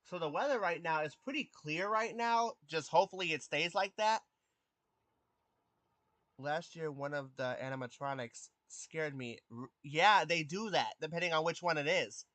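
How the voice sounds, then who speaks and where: A man of twenty chatting casually with his live stream viewers. He has a youthful voice that has not deepened, and he hesitates often with ums and restarts. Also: expressive, normal speed, a bit bright, no room sound. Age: 20s. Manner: hesitant, many ums and restarts, answers chat questions.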